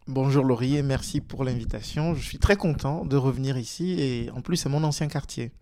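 A man's voice: medium pitch, brisk, dark tone. A man from Togo, speaking as an interview guest, hesitant and full of fillers and restarts. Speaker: guest, politician and teacher. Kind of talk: casual interview talk.

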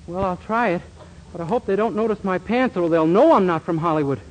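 An actor playing a naive, earnest, bumbling young employee in a 1940s radio sitcom. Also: male voice, high voice, brisk, some chatter behind, close to an everyday voice. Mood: worried. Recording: noisy 1940s radio recording.

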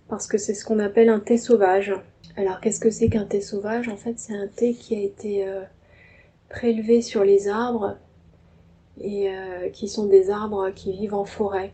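A Parisian-born woman, the guest, calmly sharing personal reflections, soft-spoken and pausing often with fillers. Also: medium pitch, noisy background. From France. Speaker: tea ceremony host and guest.

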